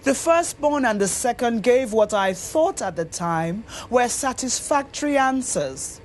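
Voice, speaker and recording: A woman's voice: fairly high pitch, animated, unhurried. A woman voicing a sorrowful, remorseful old father in a storybook, making a tearful, emphatic confession. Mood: regretful.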